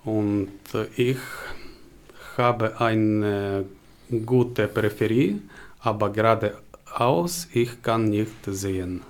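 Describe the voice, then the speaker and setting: A man in his forties with a Ukrainian accent answers interview questions. His voice sits slightly low, and he talks very slowly. He hesitates often, feeling for words in a language he learned later. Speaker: interview guest, job seeker. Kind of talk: interview answers in halting second-language German.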